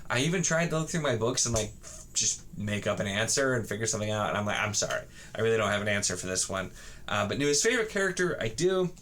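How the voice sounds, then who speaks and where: Male book vlogger, lowish voice, loose and halting conversational delivery, faint noise behind. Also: big pitch swings, a bit bright. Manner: rambling, halting, self-correcting with frequent false starts. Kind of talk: casual chat to camera about books.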